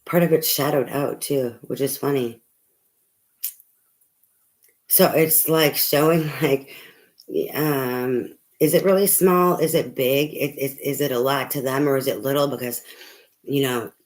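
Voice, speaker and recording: A tarot reader losing their voice, talking softly in a rambling, casual way full of fillers and the odd swear. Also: mid-range voice, fairly even intonation, measured pace, pauses often. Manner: speaking softly. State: losing voice, hoarse. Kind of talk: casual tarot reading to camera.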